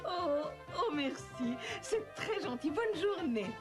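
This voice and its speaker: A kindly but firm old grandmother pig from a children's cartoon. The voice sits fairly high and swings expressively, theatrical and affectionate. Music plays behind it. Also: expressive, takes it slowly. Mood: cheerful, polite. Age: older. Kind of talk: French children's cartoon dubbing.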